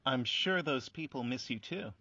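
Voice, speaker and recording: A man's voice: medium pitch, expressive, moderate pace, pushed and bright, breathy edge, no room sound. A man playing a thoughtful, affable interviewer who chats reflectively in a casual radio play. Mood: appreciative. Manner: reflective, conversational, agreeable. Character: thoughtful, affable male interviewer of talking buildings. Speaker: interview host. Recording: dull, dry lo-fi audio.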